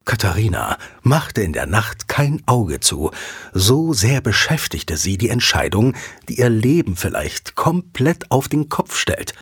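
A man narrating a scripted audio drama as a dramatic, expressive storyteller. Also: on the low side, measured pace, breathy edge, no echo, clean studio sound. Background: background music.

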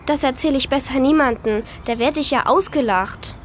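A woman's voice: sits high, moderate pace, a little hiss or hum, natural voice. A female performer playing an earnest young girl in a children's audio drama, thinking aloud in a childlike, simple way. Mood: worried, regretful. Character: young girl, earnest, wistful, attached to her toy.

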